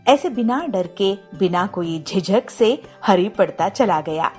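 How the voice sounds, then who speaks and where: Lady telling a moral story, slow but very lively pitch, bit of music behind. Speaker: narrator. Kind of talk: scripted moral story narration. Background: faint music.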